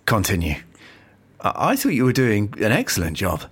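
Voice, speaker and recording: A man's voice: fairly low pitch, wildly swooping pitch, normal speed, husky, heavily acted. An actor playing an embarrassed, apologetic man who scolds his colleague in an audio drama.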